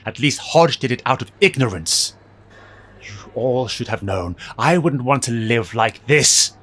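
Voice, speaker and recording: A man's voice: mid-range voice, lively intonation, unhurried, a bit bright. A man playing a bitter, brooding, wealthy fallen superhero with a sneering, curt manner in an audio drama. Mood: bitter anger.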